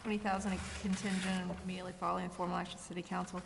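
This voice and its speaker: A woman's voice: lowish voice, flat delivery, rapid-fire, vocal fry. A woman on a council asking informal questions at a public meeting, thinking aloud a little tentatively. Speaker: city council member. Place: indoor council chamber. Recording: reverberant hall, faint background noise.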